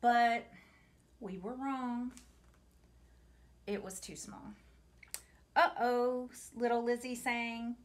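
A woman in her forties reading a picture book aloud to children in an expressive, deliberate way, with asides to her young listeners. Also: fairly high pitch. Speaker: storytime reader for a museum family programme. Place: small home room, indoors.

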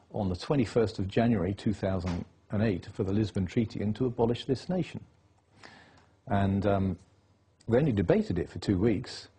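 Husky-voiced man lecturing insistently. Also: lowish voice, warm tone. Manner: insistent, lecturing, piles up dates and facts. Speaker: interview guest. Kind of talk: political interview.

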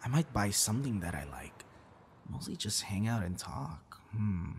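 A man's voice: on the low side, sing-song, normal speed, gravelly, slight background noise. A young man acting a teasing, friendly boyfriend figure in an intimate, casual audio roleplay. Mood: casual, playful. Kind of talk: ASMR boyfriend roleplay, intimate one-sided dialogue.

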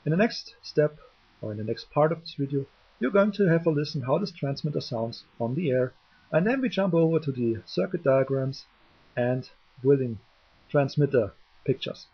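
Gravelly man explaining electronics. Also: on the high side, animated, normal speed. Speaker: electronics hobbyist presenter. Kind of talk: explanatory tutorial narration. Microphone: cheap DIY microphone, voice-over. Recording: dull, lo-fi cheap homemade microphone.

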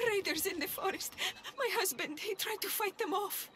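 A woman's voice: very high voice, moderate pace, a bit bright. An actress playing a frightened young village mother in a video game, tearful and pleading. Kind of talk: dramatic video game acting.

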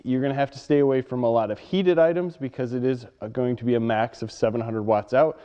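A man's voice: mid-range voice, fast talker, warm tone, smooth. A man giving a plain, matter-of-fact hands-on product demonstration at a workbench in a workshop. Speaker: product reviewer. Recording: dull sound with long reverb.

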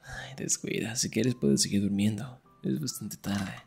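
A young man performing an intimate ASMR roleplay as a teasing, affectionate best friend, murmuring in a breathy, husky whisper. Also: on the low side, fairly even intonation, unhurried, a little mellow, faint music behind.

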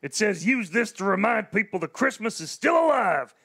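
A man's voice: sits high, big pitch swings, normal speed, gravelly, pushed and bright, raised voice, full character voice. A man doing a brash drawling space captain. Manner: drawling y'all, brash commands. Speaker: host and performer of a charity stream.

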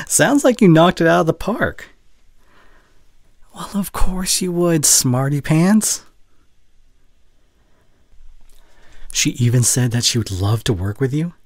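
A man playing a caring, worried boyfriend in an intimate audio roleplay, with a soft, breathy voice and tender, halting delivery close to the microphone. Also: on the high side, expressive, no room sound, full character voice. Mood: warm, encouraging. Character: caring, worried young boyfriend, gentle and earnest. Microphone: close studio condenser microphone.

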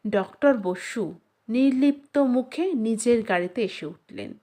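A woman narrating a literary short story, reading from a script in a measured, expressive storytelling manner. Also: fairly high pitch.

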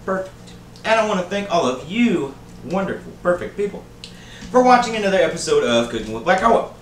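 A man's voice: sits high, very animated, a little fast, slight background noise. A man in his thirties hosting a casual home cooking show, joking with viewers and calling them baby and buddy, in a home kitchen. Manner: playful, jokey, calls viewers baby and buddy. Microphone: camera mic from a few feet away. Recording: roomy kitchen with music behind.

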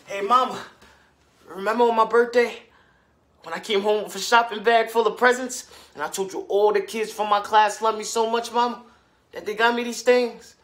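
A man acts as a young boy in a stage monologue. He is eager and pleading, high and bright, his voice ringing in a roomy space. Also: fairly even intonation, slow, pauses often, distant mic, heavily acted. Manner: eager, pleading, repeatedly calling for mama. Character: needy young schoolboy calling to his mama. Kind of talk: theatrical monologue acting.

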